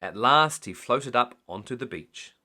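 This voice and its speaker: A man reading a children's bedtime story aloud in an animated storytelling style. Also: medium pitch, a little slow.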